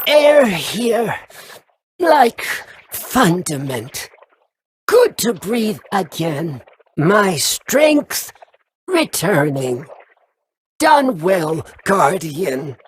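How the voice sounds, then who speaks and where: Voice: medium pitch, big pitch swings, drawn-out words, pauses often. Sly worm creature, needy, theatrical, broken sentences, dragging pace, faint noise, video game. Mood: relieved.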